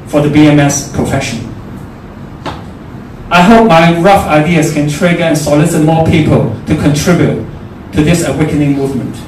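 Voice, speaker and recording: A man with a Hong Kong accent giving a prepared, formal speech at a forum. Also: on the high side. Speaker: forum speaker. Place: large echoey indoor room at a forum. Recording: very echoey room, distant mic, faint noise.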